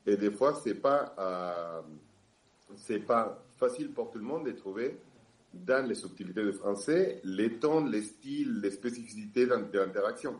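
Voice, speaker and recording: A man from Argentina with an Argentine Spanish accent, speaking on a panel in French and often pausing to search for words. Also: lowish voice, expressive, echoey room. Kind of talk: public discussion.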